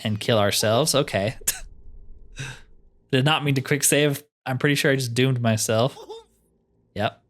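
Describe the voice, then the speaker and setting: A man's voice: mid-range voice, measured pace, long pauses. A man giving casual gameplay commentary in a laid-back way, musing to himself as he plays. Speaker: gaming streamer.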